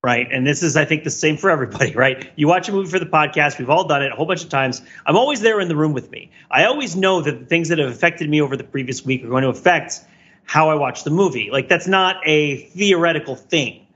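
A man's voice: fairly high pitch, animated, quick pace, fairly bright tone, slightly rough, muffled recording. A man talking as a podcast panelist in an emphatic, rambling, philosophical way, giving casual reflective commentary.

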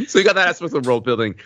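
A man co-hosting a podcast, analysing film casually in halting phrases and checking his points with "right?". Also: high voice, fairly bright tone, no echo, dull audio. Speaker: podcast co-host.

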